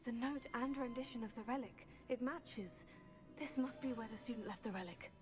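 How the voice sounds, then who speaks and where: A young woman playing a composed, diplomatic teenage witch in a video game, measured and articulate. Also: a little high, over music, lightly acted. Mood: curious. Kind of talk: dramatic video game voice acting.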